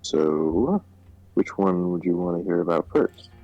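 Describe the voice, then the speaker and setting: A man's voice: low voice, animated, a little slow, mellow, soft background music. A man voicing a formal government minister who briefs his president in a political game, recorded in dull lo-fi audio. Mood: earnest, businesslike. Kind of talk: amateur voiced read of game dialogue.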